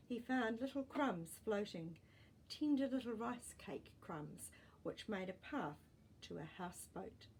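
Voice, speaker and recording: A woman storyteller reading a children's story expressively, with deliberate pauses and dramatic emphasis. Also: medium pitch, darkish tone, slight background noise, low-quality recording. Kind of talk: expressive storybook narration with asides to young listeners.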